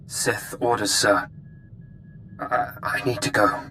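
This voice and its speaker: A man's voice: mid-range voice, steady tone, takes it slowly, sharp tone, a little hiss or hum. A man playing a grave, anxious intelligence officer in a video game, speaking tersely and seriously.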